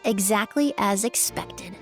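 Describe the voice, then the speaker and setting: A woman's voice: mid-range voice, a little slow, music in the background, natural voice. A woman voicing a composed, analytical young expert in a video game, explaining with poised precision and assurance. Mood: assured.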